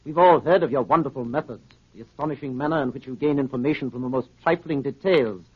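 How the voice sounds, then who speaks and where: An actor playing a falsely courteous, evasive blackmailer, husky, polite and condescending, in a 1930s radio drama. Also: male voice, mid-range voice, fast talker, lightly acted. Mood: amused, condescending. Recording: dull 1930s radio recording.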